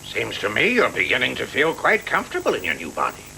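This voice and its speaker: A man's voice: medium pitch, wildly swooping pitch, fast, vocal fry, sharp tone, heavily acted. A man voicing a worldly, cautioning character in an English anime dub, heard from an old videotape. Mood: amiable. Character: worldly, cautioning adult male associate of the doctor. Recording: noisy old VHS tape dub.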